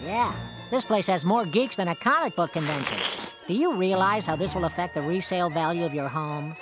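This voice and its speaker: A performer voicing a fast-talking, wisecracking cartoon boy with salesman-like patter. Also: on the low side, lively intonation, music in the background, close to an everyday voice.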